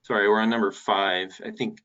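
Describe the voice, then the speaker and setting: A man's voice: fairly low pitch, flat delivery, brisk, sharp tone, lo-fi sound. A man talking through details as a city planner in an informal meeting, hesitant and thinking aloud. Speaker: city planner presenting a code draft.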